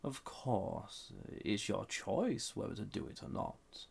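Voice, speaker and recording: Suave male villain, husky and breathy, swooping intonation, unhurried, flirty, dangerous, condescending, roleplay audio. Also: big pitch swings, exaggerated voice. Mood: conspiratorial, persuasive. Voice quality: deep, gravelly, husky, breathy. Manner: slow, deliberate, softly condescending, formal. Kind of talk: scripted roleplay audio drama.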